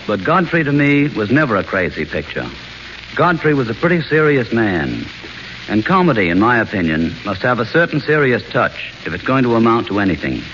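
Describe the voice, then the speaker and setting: A man guesting as an author on a radio show, speaking in measured, earnest prepared remarks. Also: medium pitch, a bit bright. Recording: dull old radio broadcast with faint hiss.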